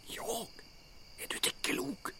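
A man playing a nervous, reluctant soldier sidekick in a comic audio drama, wry and protesting. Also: lightly acted. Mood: nervous, hushed. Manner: wry, protesting, often hissed whisper or yelled.

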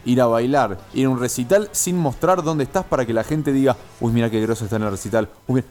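A man's voice: mid-range voice, fast, a little mellow. A young man with an Argentine accent chatting casually as a co-host on a radio show. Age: 20s.

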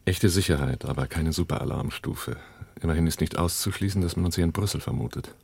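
An actor playing a cool, cautious arms smuggler on the run in a radio drama, with clipped, controlled delivery. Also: male voice, very deep voice, quick pace, gravelly, darkish tone, slightly rough, dry recording, full character voice. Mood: businesslike, guarded. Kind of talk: German radio drama dialogue and first-person narration.

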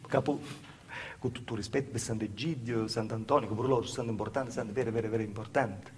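A man's voice: medium pitch, steady tone, fast talker, slight background noise, lightly acted. A man playing a Calabrian village mother, telling a folksy, repetitive, plaintive theatre monologue in dialect. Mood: plaintive, confiding.

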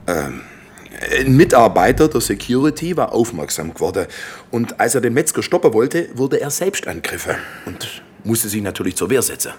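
An actor playing a smooth, evasive, proud and coldly businesslike slaughterhouse manager in a radio drama. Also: male voice, medium pitch, very animated, normal speed, slight background noise, close to an everyday voice. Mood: evasive, defensive. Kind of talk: naturalistic radio drama acting.

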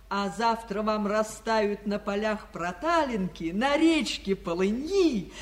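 An actress playing Spring, a stately, tender mother spirit, in a declamatory, unhurried 1940s radio fairy-tale style. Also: medium pitch. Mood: soothing. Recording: 1949 radio recording, faint music behind.

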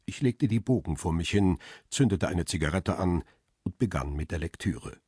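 Deep husky guy narrating a radio crime drama, calm and steady, dry close mic. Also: moderate pace, no echo. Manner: calm, steady, reflective storytelling. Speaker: first-person narrator, the photographer. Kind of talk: scripted radio play narration.

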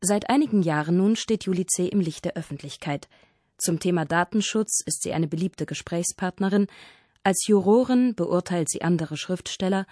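A woman's voice: fairly low pitch, warm tone, dry recording, clean studio sound. A woman reading scripted narration as the narrator of a radio feature.

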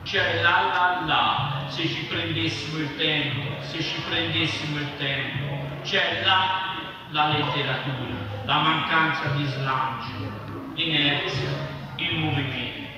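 Male reader, slow literary delivery in short phrases, distant and very echoey live recording. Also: on the high side, steady tone, over music. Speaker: reader at a public literary reading. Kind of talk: dramatic reading of literary prose poetry aloud. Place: live reading at a festival venue. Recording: echoey noisy hall, distant mic.

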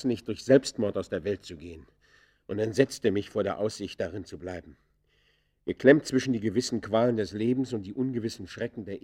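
A man's voice: lowish voice, darkish tone, slightly rough. A man playing a tormented, guilt-ridden fugitive outlaw in a German radio play, speaking in an anguished, declamatory literary manner.

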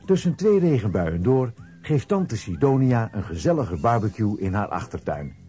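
A man with a husky voice narrating a scripted children's audio play. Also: lowish voice, very animated, moderate pace, dark tone, faint music behind.

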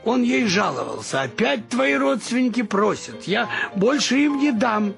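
High, creaky-voiced old man. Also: sing-song, a little slow, a bit bright, faint music behind, exaggerated voice. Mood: wistful reminiscing. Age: older. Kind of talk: radio play acting.

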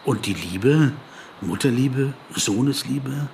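A man's voice: medium pitch, expressive, slow pace, creaky, darkish tone, slight background noise. An actor playing a meek, flustered writer in a radio play, hesitant and stammering as he tries to placate. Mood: tentative. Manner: apologetic, hesitant, stammers and repeats words. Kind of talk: German radio play dialogue.

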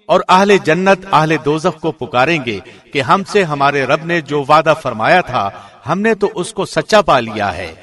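Formal male scripture reader, somewhat high voice. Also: animated, fairly bright tone, soft background music. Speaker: translation reader, radio host. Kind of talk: scripted reading of scripture translation.

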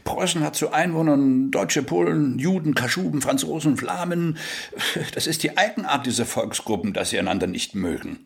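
An actor playing a sneering, bigoted Prussian official in a German radio drama, pompous, lecturing and insinuating. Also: male voice, a little high, lively intonation, moderate pace, vocal fry.

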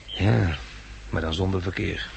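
An actor in a 1960s radio drama playing a cautious, inquisitive male survivor, wry and conversational, with a slightly gravelly voice. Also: low-pitched, fairly even intonation, unhurried, exaggerated voice. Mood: wry, relaxed. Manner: conversational, questioning. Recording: noisy old 1960s radio recording.

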